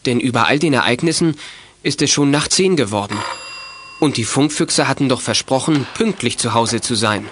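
Medium-pitched male storyteller of audio drama. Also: expressive, moderate pace, background noise. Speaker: narrator. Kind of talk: scripted children's audio drama narration, present tense.

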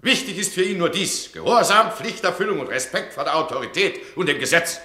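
A domineering duke in an old radio play, bright and forceful, his voice climbing and falling with temper as he scolds a subordinate. The room around him rings with a roomy echo. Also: on the high side, animated, brisk. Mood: angry. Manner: commanding and scornful, swings from mocking to furious. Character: imperious, hot-tempered male duke, absolute ruler. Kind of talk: radio drama acting.

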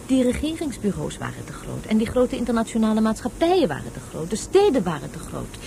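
A woman's voice: fairly high pitch, huge pitch swings, fast, vocal fry, background noise, heavily acted. An actress playing a patient woman tutor in a radio play, explaining things didactically as if to a child. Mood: instructive. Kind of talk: Dutch radio play acting.

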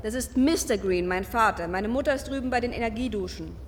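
Woman as pert teenage girl, highish voice, impatient, brisk, reverberant radio play. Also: slight background noise, lightly acted. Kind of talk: German science-fiction radio drama acting.

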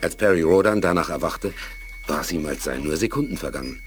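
A man's voice: low-pitched, expressive, fairly quick. A man narrating a scripted science fiction radio play as its storyteller. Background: loud music under the voice.

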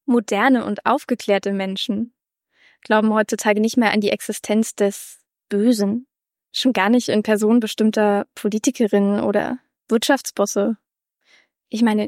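A woman playing a self-assured, enlightened type in a radio drama monologue, speaking in a measured, lecturing way. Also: mid-range voice, steady tone, a little mellow, dry recording, studio quality, lightly acted.

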